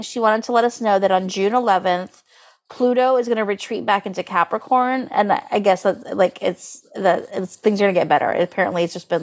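A woman co-hosting a podcast in casual conversation, peppering her talk with "like". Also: medium pitch. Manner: conversational, heavy use of "like". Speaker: podcast co-host. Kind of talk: casual astrology podcast chat.

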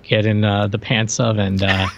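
A man's voice: fairly low pitch, level tone, normal speed, dull audio, a little hiss or hum. A man co-hosting a podcast, chatting casually with dry, wry humour. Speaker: podcast co-host. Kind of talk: casual joking chat.

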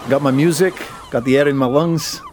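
A man's voice: on the high side, brisk, a little mellow. Laid-back man with noise behind him. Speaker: tabletop game player. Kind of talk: casual improvised role-play.

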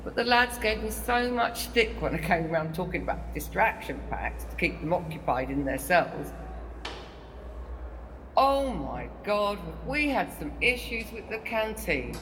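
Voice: medium pitch, measured pace, in a large hall, slight background noise. An actor playing a wry, caring prison worker. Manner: chatty, wry, animated in anecdotes. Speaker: prison staff. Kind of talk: audio drama monologue.

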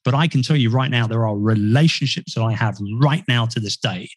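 A man's voice: mid-range voice, a little fast, dry recording. Chatty self-deprecating man, dry sound. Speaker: podcast guest. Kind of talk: casual interview talk. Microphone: podcast mic.

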